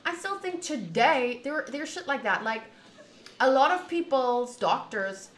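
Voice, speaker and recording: A woman streamer chatting casually to viewers during a game playthrough, in an animated, opinionated and wandering way, often rephrasing mid-thought. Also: sits high, unhurried. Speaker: streamer playing a visual novel. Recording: echoey room, faint background noise.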